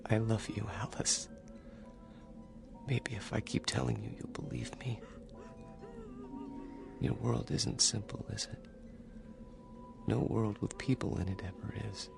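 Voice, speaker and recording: Give me a lonely, tender man whispering slowly, with music playing behind. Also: lowish voice. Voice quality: soft breathy whisper. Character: lonely shy man in love with a doll. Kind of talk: radio drama acting.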